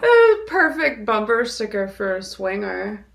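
A woman co-hosting a podcast, chatting casually about film in a blunt, opinionated way with a gravelly creak in her voice. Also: medium pitch, big pitch swings, unhurried. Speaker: podcast co-host. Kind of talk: casual film discussion chat.

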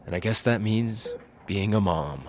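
A man's voice: lowish voice, unhurried, darkish tone, a little hiss or hum, close to an everyday voice. An actor playing a goofy, self-dramatizing man in a comedy audio drama, whiny and earnest about his imagined pregnancy.

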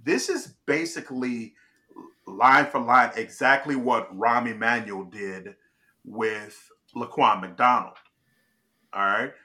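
Male host, from Chicago, bright and forceful tone, emphatic conversational commentary. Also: mid-range voice, slow pace. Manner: emphatic, restarts and checks in with "all right?". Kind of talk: casual political commentary.

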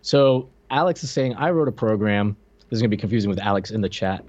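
A man's voice: fairly low pitch, expressive, fast, a little mellow. A man co-hosting a podcast, offering casual, conversational and opinionated commentary. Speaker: podcast co-host. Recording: slightly dull, lo-fi microphone sound.

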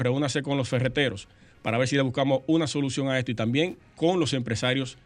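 A man hosting a radio talk show, steadily reading out figures and phone numbers. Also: mid-range voice. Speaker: radio host.

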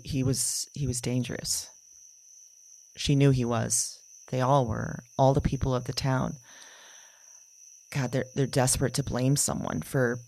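A woman playing a haunted dreamer in an audio drama, haltingly recounting visions of past lives. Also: a bass voice, dark tone, heavily acted. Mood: troubled. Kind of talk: confessional audio drama monologue, halting recollection.

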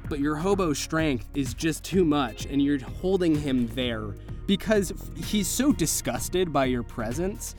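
A man's voice: fairly high pitch, lively intonation, measured pace. Male game master with music behind him. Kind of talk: improvised tabletop game narration.

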